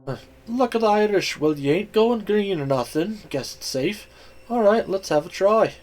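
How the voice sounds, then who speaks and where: A man's voice: on the high side, normal speed, natural voice. A man doing a brash, blunt young woman. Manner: blunt, slangy, irritable.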